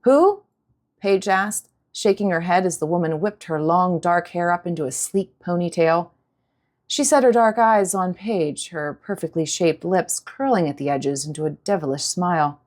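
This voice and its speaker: Woman reading an audiobook, dramatic scripted narration, slightly low voice. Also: moderate pace, darkish tone.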